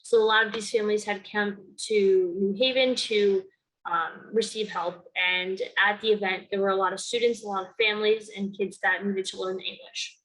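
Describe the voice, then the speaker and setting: A young woman of about nineteen from Connecticut, whose first language is Spanish, speaking to the camera in an earnest, measured way as a youth program founder. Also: medium pitch, fairly even intonation, fairly bright tone, rough recording. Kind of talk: recorded award-video talk to camera.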